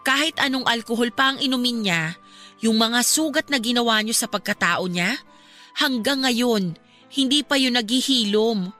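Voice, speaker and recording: A caring, outspoken young woman in a radio drama. Her voice sits a little high with a clear, penetrating edge, and she speaks earnestly. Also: moderate pace, bright, forceful tone, soft background music. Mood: earnest, reproachful. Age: young adult. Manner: earnest, emphatic, polite yet confrontational.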